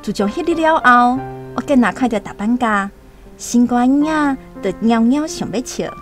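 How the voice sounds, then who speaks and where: An actress with a Taiwanese accent playing a tender, playful young island maiden in love in a literary radio drama. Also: female voice, fairly high pitch, lively intonation, normal speed, faint music behind, lightly acted. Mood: smitten, happy.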